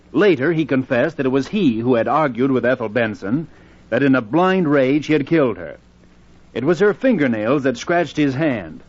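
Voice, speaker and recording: A male actor as a calm, methodical police inspector from an old radio mystery. He speaks in a measured, probing way with thoughtful hesitations. The recording is dull and muffled, like a vintage broadcast. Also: medium pitch, natural voice. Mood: assured. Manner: measured, hesitant "uh" while questioning.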